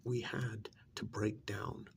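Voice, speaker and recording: A man playing a stern, secretive government handler in an ASMR role-play, speaking softly in a pointed, reprimanding, deliberate way. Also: on the low side, little pitch movement, a little hiss or hum. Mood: stern, scolding. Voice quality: breathy near-whisper with gravelly creak. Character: stern, secretive male government handler scolding an undercover agent.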